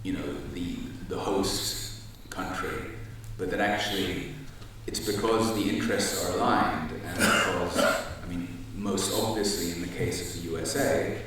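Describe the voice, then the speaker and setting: A man on a debate panel giving a measured answer with hesitant fillers. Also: low voice. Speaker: panel speaker. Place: large university auditorium. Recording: echoey hall, far from the mic, faint hiss.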